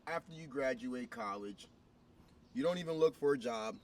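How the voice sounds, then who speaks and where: A man from Ghana runs a loose, loud interview, cursing freely and jumping in with questions. His voice sits in a middle range and sounds a bit far from the mic, with some noise behind him. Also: mid-range voice, moderate pace. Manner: loud, excitable, profane, keeps interrupting. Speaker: vlog interviewer. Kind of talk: casual street interview. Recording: distant mic with background noise.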